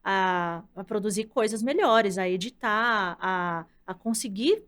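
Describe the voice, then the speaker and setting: A woman's voice: medium pitch, takes it slowly, fairly bright tone. A Brazilian woman in her thirties, a content director, explaining her views in a thoughtful, conversational way. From Brazil, from the countryside. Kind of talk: casual interview conversation.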